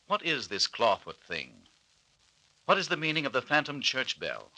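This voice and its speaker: A man narrating an old-time radio serial as announcer, with dramatic, portentous, deliberate phrasing. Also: mid-range voice, very animated, sharp tone, poor audio. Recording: old radio recording with faint hiss.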